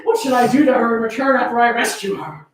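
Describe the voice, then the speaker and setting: A woman's voice: on the high side, fast, background noise, natural voice. Woman acting proud, indignant young woman, echoey. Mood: defiant, loud. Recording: very echoey room, far from mic.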